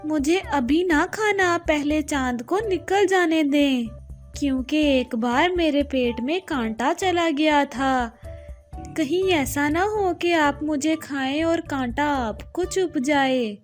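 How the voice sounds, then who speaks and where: A woman's voice: high voice, takes it slowly, faint music behind. A woman voicing a plump female pigeon who talks in a coaxing, persuasive way in a children's cartoon.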